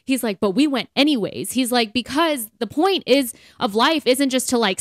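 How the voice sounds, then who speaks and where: A woman's voice: a little high, no echo, clean studio sound. An American woman co-hosting a podcast, talking casually and emphatically, with "like" sprinkled all through her speech. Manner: chatty, emphatic, heavy use of "like". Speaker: podcast co-host. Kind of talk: casual conversational podcast talk.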